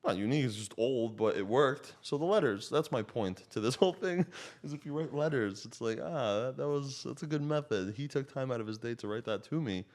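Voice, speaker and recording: A man's voice: mid-range voice, expressive, a little fast, a little mellow. A man with a slight Slovak accent, a native Slovak speaker, telling stories casually in a rambling, self-interrupting way as a podcast guest. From child of Slovak parents. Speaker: podcast guest, real estate agent. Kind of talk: casual animated storytelling.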